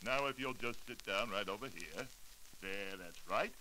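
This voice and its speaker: A man's voice: lowish voice, moderate pace, pushed and bright, rough recording. A man playing a fawning, persuasive beauty salon salesman in a 1940s radio sketch. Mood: ingratiating. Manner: effusive, obsequious, persuasive. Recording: hissy 1940s radio recording.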